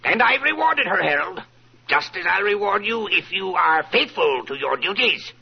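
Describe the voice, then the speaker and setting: A man's voice: high-pitched, big pitch swings, moderate pace, gravelly, slightly rough, exaggerated voice. An actor playing a wealthy, paranoid, self-satisfied old businessman in 1940s radio style, grandly wordy and theatrical. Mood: self-assured, wary. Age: older. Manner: theatrical, grandly wordy, repeats himself for emphasis. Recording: muffled vintage radio recording.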